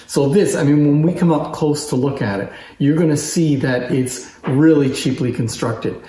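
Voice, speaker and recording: A man's voice: a little high, normal speed, dark tone. A man giving a casual, unscripted show-and-tell in a conversational, enthusiastic way, as a presenter of antique machines. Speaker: antique phonograph collection presenter. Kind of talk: casual unscripted demonstration talk. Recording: roomy echo.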